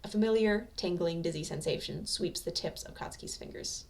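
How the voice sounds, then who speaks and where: A woman's voice: lowish voice, a little fast, creaky, a little mellow, slight background noise. A woman narrating fan fiction as an amateur audiobook reader, in a scripted storytelling style.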